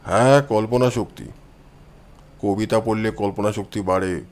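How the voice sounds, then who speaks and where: Man voicing a deep, self-assured detective. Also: fairly even intonation, a little mellow, natural voice. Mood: calm, assured. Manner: measured, explanatory, self-assured. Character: calm, confident young male detective explaining his deductions.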